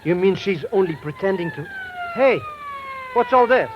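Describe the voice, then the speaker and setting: A man's voice: high voice, huge pitch swings, moderate pace. A man playing an eager, freeloading comic sidekick with florid, mock-pitiful speech in a vintage radio drama. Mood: excited. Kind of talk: 1940s-50s radio drama acting. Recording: noisy, muffled vintage radio recording.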